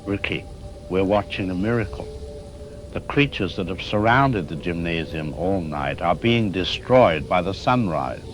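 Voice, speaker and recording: A man's voice: sits low, animated, unhurried, faint music behind. An actor playing an awestruck townsman calling a radio show as an eyewitness, speaking in dramatic description. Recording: clear background noise.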